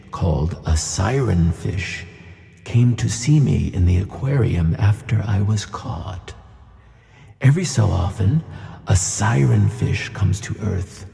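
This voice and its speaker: Deep, slow, gravelly giant old fish. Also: male voice, dark tone, full character voice. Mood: grave, explaining. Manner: slow, measured, kindly. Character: huge, gentle old flying bluefin tuna, wise and wistful. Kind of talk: children's audio play acting. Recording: long hall reverb on voice.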